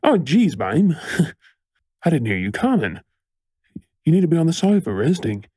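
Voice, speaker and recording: An Australian man playing a frantic, tender boyfriend fussing over his partner in an intimate audio role-play, stammering with emotion, his voice husky. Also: a little high, sing-song, moderate pace, dark tone, no echo, studio quality. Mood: shaken, loving.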